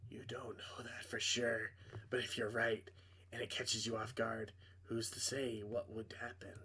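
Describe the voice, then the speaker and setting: Cold, sly female friend, read by a man, hushed and insinuating; visual novel horror. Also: fairly low pitch, level tone, unhurried, husky, voice pushed down, exaggerated voice. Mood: quiet menace. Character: sly, cold woman coolly taunting her friend by phone. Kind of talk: visual novel character reading.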